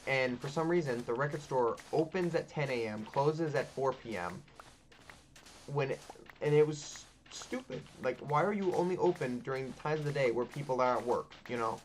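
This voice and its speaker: Guy, 20s, game channel host, loose chatty ramble, middle pitch, light background hiss. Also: expressive, moderate pace. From Texas. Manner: loose and chatty, frequent ums and likes, casual swearing. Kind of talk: casual rambling chat over gameplay.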